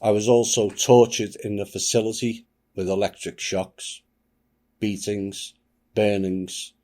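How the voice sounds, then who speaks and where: Grave male host, very low voice, unhurried solemn reading. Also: fairly even intonation, mellow. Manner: grave, measured delivery. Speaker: channel host. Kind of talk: solemn reading of a submitted account.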